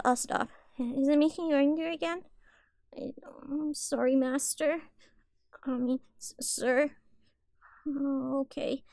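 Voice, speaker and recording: High-voiced, timid, childlike catgirl. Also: creaky, darkish tone, no echo, full character voice. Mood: nervous, apologetic. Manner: hesitant, stammering, meek. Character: timid, childlike catgirl, sweet, anxious, eager to please. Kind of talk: roleplay audio acting.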